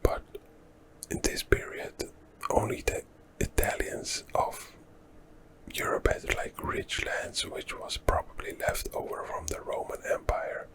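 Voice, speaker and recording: Dutch man, whispering, very slow, close mic, ASMR history guide. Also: poor audio. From Netherlands. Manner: soft unvoiced whisper, unhurried, thinking aloud with fillers. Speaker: ASMR history channel host. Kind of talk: whispered ASMR map walkthrough.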